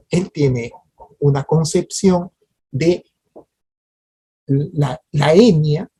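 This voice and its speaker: Very husky lecturer, mellow tone. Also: mid-range voice, poor audio. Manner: didactic, with frequent tag questions and direct appeals to listeners; hesitant restarts and repeated words. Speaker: lecturer at a parliamentary training workshop. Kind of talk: spoken lecture to an audience.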